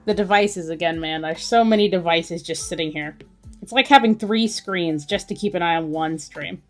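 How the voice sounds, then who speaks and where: A woman's voice: lowish voice, moderate pace. A woman chatting casually as she draws on a livestream, thinking aloud in a musing way. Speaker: artist hosting a drawing livestream. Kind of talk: casual chat while drawing. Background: music.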